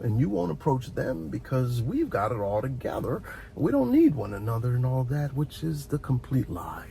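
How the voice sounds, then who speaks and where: A middle-aged man giving an informal, heartfelt advice monologue as a host, speaking earnestly and reflectively, often halting and repeating words. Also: mid-range voice, creaky, mellow, slight background noise, low-quality recording. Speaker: host of a relaxation and advice channel.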